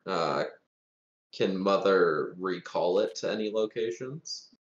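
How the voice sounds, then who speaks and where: Deep voiced guy playing a tabletop game, casual, echoey room and muffled audio. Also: slow pace. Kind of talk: casual chat.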